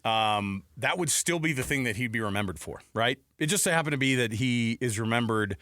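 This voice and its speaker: An American man hosting conversational sports talk radio, thinking aloud with halting repeats on a close studio mic. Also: mid-range voice, expressive, sharp tone, dry recording. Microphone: close studio broadcast mic.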